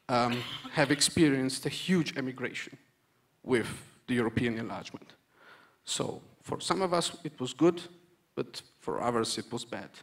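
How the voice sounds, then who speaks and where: A man from Romania gives an earnest conference speech, stressing points through repetition and pausing for hesitant restarts. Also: mid-range voice. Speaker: conference panel speaker.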